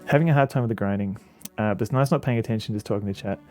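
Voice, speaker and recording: A man's voice: on the low side, racing, darkish tone, faint music behind. A man streaming a game and chatting casually with viewers, rambling with lots of restarts and fillers. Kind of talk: casual chat with viewers while playing a game.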